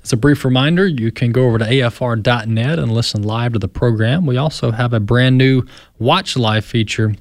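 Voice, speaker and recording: A man's voice: mid-range voice, fairly quick, dry recording, studio quality. A man hosting a radio talk show, introducing a guest and asking long, rambling questions with fillers. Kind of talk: radio interview.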